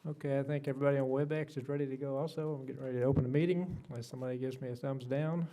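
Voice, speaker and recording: A soft-spoken man, the mayor, chairing a city council meeting with easygoing, plain speech and frequent fillers. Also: medium pitch, steady tone, racing, low-quality recording. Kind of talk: formal meeting chairing, roll call. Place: council chamber.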